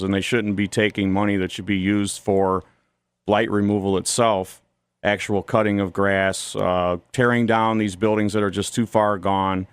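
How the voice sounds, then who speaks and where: Smooth, lowish-voiced American man. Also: no room sound. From Toledo, Ohio, United States. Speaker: studio interview guest, YouTube commentator. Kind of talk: opinionated political radio interview. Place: radio studio.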